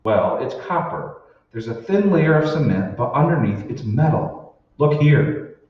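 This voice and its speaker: A man's voice: medium pitch, expressive, unhurried, natural voice. A man playing a troubled, suspicious husband in an old-time radio drama, convinced his world is fake. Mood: agitated. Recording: echoey hall, distant mic, dull, faint noise.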